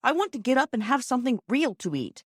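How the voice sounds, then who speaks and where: A woman's voice: a little high, very animated, quick pace, slightly rough, dry recording, studio quality, voice pushed up. A woman voicing an eager, demanding ten-year-old boy sick in bed in a storybook, speaking emphatically. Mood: grumbling, insistent. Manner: emphatic, insistent. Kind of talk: storybook character reading.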